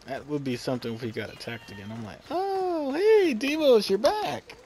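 A man's voice: sits high, huge pitch swings, unhurried, darkish tone, slight background noise. A man casually commenting as he plays a video game, chatting and laughing with his viewers. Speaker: gamer playing a video game.

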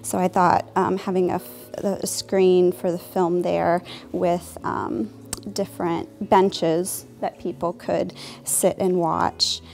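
A young woman design student explains her plans in a measured way, slipping in the odd um. Her delivery stays flat and level, with faint music under her in an ornate synagogue hall. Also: lowish voice, vocal fry. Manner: measured, with ums. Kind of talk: presenting a design proposal.

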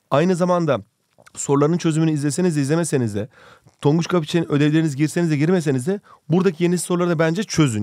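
A man's voice: a little high, darkish tone, no room sound, clean studio sound. A man with a Turkish accent teaching an English lesson in a jokey way, playfully scolding as he goes. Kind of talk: scripted lesson with playful banter.

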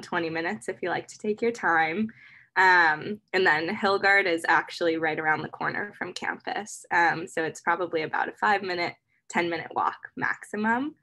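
A woman's voice: lowish voice, bright, forceful tone. A young woman from Michigan, a dental student, explaining campus housing on a video call in a chatty way. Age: young adult.

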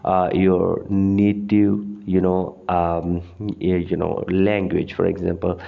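A man whose first language is Urdu gives a screen-recorded tutorial, halting often with fillers and restarts. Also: low-pitched, flat delivery, creaky, narrow, tinny audio. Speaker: tutorial host.

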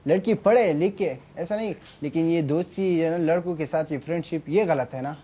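A young man, a university student and audition contestant, answering in a studio in a halting, soft-spoken way with a sore throat. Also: a little high, breathy edge, muffled recording. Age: young adult. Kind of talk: hesitant interview answers. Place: TV studio set, seated in a chair.

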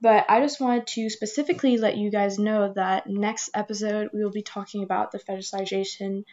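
A woman around twenty talks casually as a podcast co-host. Her voice sits at a middle pitch, and the recording is a little dull and lo-fi. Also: fairly even intonation, a little fast, darkish tone. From Hong Kong, French-speaking household. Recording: slightly dull, muffled microphone sound.

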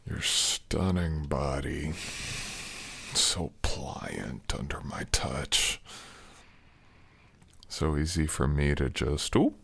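A young man plays an affectionate, teasing boyfriend in an intimate ASMR style. His voice is extremely low with a gravelly fry. He murmurs slowly and tenderly, close to a dry microphone. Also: lively intonation, fairly bright tone. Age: young adult. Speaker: audio roleplay performer playing a boyfriend.

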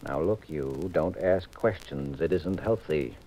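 An actor playing a cool, wry detective with a dry, commanding manner in a 1950s radio mystery. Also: male voice, rumbling low, measured pace, loud music behind the voice. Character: cool, wry, assured male detective, married sleuth. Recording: noisy 1950s radio recording.

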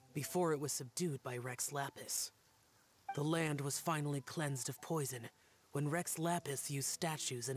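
Actor as aloof spirit warrior, grave and hushed, few words. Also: male voice, medium pitch, natural voice. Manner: grave, terse, quiet. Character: aloof young male guardian spirit warrior.

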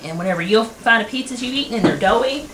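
A woman in her thirties hosting a cooking show, explaining each step in a plain, homey, unhurried way in a rustic wooden kitchen. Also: on the high side, lively intonation. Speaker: home cooking show host. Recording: noisy home video with kitchen clatter.

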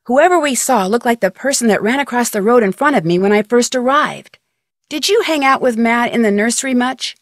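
A woman voicing an inquisitive, polite young female detective in a video game, with expressive delivery. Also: a little high, a little fast, no echo, clean studio sound, lightly acted. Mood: curious, probing.

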